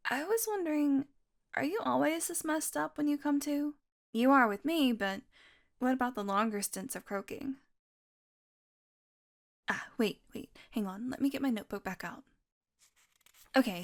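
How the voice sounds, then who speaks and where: A woman acting a playful, sardonic, curious young vampire in an intimate audio roleplay. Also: high voice. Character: teasing, curious young female vampire doing experiments.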